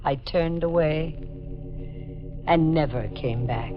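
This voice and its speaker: A woman's voice: deep voice, slow. An actress playing a haunted, fatalistic woman resigned to death, in 1940s radio style. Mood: grim, resigned. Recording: muffled old 1940s radio recording. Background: music.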